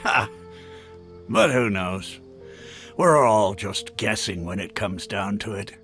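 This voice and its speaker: An actor playing a genial, worldly retired mercenary advisor in a video game, hearty and matter-of-fact. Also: male voice, fairly low pitch, animated, slow, a bit bright, full character voice. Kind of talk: video game cutscene acting. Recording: background music under voice.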